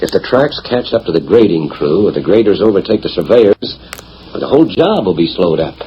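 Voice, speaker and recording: Young western railroad boss, low voice, plainspoken resolve, muffled old radio drama. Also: male voice, very animated, fairly quick. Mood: eager, determined. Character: earnest, determined young male railroad builder in the West. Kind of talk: 1940s radio western acting. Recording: dull, faintly noisy 1940s radio recording.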